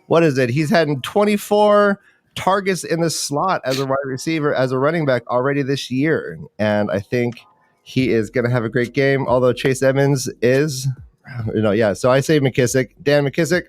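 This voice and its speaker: A man's voice: mid-range voice, normal speed, no echo. A man hosting a fantasy football livestream, chatting casually about sports.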